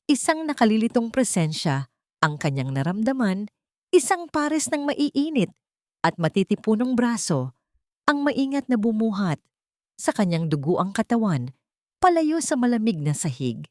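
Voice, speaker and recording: A woman's voice: on the high side, darkish tone, no room sound, studio quality. A woman reading a scripted, dramatic story as its narrator.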